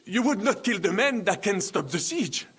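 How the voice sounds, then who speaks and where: An actor playing a treacherous, smooth-talking French nobleman with a theatrical, wheedling manner and a French accent. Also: high voice, sing-song, moderate pace, fairly bright tone, heavily acted. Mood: ingratiating. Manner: theatrical, wheedling, peppers speech with French phrases. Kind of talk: dramatic video game acting. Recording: music underneath the dialogue.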